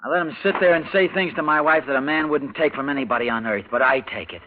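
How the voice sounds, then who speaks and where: An actor playing a bitter, worn-down young husband with an intense, brooding delivery in a 1940s radio drama. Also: male voice, on the high side, quick pace, natural voice. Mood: self-loathing. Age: young adult. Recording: noisy, tinny old radio recording.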